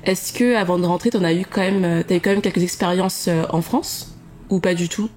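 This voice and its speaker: A woman's voice: on the low side, fairly even intonation, brisk, a little mellow, a little hiss or hum. A woman in her thirties hosting a podcast, reading a scripted intro and then interviewing her guest in a friendly, enthusiastic way.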